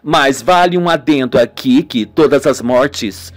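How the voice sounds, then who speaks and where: A man's voice: mid-range voice, unhurried, lightly acted. A man voicing a serious, direct female police investigator in an amateur radio soap, over music. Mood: grave.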